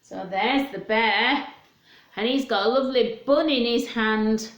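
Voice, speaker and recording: A woman's voice: on the high side, measured pace, fairly bright tone. A woman hosting storytime for young children in a sing-song, questioning manner, chatting and reading aloud. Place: indoors at home. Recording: echoey home room.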